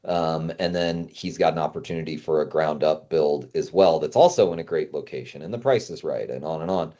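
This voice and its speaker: Male guest consultant, very low and mellow voice, conversational explainer, slightly dull recording. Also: brisk. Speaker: guest consultant on climbing gym business. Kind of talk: casual business discussion.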